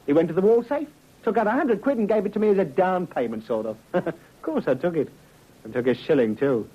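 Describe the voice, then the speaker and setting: A cocky crook talks glibly in slang, sardonic throughout. His voice is husky and slightly high for a man. It sounds like vintage radio drama, dull and muffled. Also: lively intonation, a little fast. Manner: glib, slangy. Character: cocky, sardonic male ex-convict murder suspect. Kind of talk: 1950s radio drama acting. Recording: muffled old radio recording.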